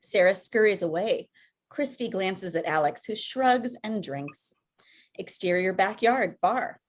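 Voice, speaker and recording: A woman's voice: medium pitch, animated, a little slow. A woman reading scripted stage directions as narrator at a table read over video call.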